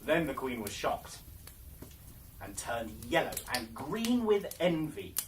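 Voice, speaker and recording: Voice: medium pitch, very animated, takes it slowly, recorded from a distance, heavy background noise. A storyteller narrating a fairy tale in a dramatic, theatrical storybook style.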